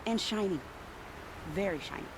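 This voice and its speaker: An actress playing a teasing, evasive soldier in a video game, with hesitant delivery. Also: female voice, mid-range voice, moderate pace, background noise, lightly acted. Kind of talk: video game drama acting. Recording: clear game ambience behind voice.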